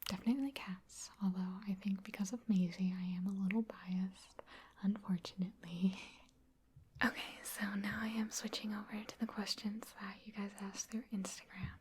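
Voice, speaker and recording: A woman from Wisconsin, an ASMR creator, answering viewer questions in a soft, chatty, self-deprecating whisper. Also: mid-range voice, fairly even intonation, moderate pace, a little hiss or hum. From Wisconsin, USA.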